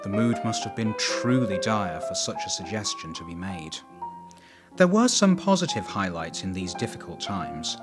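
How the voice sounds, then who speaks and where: Lively low-voiced man narrating over music. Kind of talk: scripted documentary narration.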